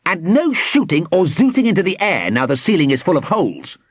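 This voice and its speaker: Guy doing bratty wicked kid villain from a story, high voice, cocky, taunting, sneery. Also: huge pitch swings, brisk, slightly rough, no room sound, studio quality, full character voice. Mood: insulted, boastful. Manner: boastful, sneering.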